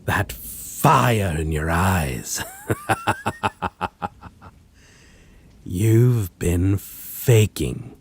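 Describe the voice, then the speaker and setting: A man playing a possessive, menacing vampire in a dramatic audio roleplay, speaking in a theatrical, condescending manner with a husky, breathy voice. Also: sits low, lively intonation, a little hiss or hum, heavily acted. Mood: mocking, amused. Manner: slow, theatrical, archaic wording, condescending endearments. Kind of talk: dramatic audio roleplay acting addressed to listener.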